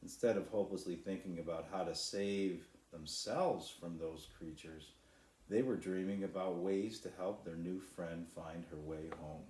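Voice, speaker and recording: Male author, children's storybook narration, deep pitch, roomy echo. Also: unhurried, a little mellow. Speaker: author reading own children's book.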